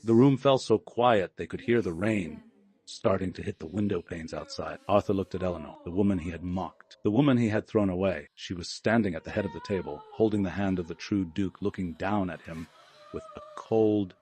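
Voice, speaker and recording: A man's voice: low voice, a little fast, a little mellow. A man reading a scripted story as a dramatic audiobook narrator.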